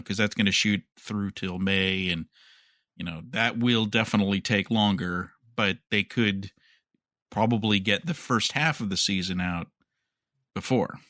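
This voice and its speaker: Guy hosting a podcast, lowish and bright, just chatting through news and guesses. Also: a little slow, dry recording, clean studio sound. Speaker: podcast host. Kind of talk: casual news discussion.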